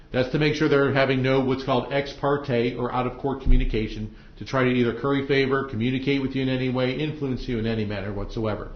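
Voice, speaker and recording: A male judge talks briskly through procedure in a colloquial, plain-spoken way. He explains step by step to jurors and attorneys. The sound is roomy and somewhat dull, as from a courtroom recording. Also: medium pitch. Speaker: judge presiding over a trial. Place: indoor courtroom.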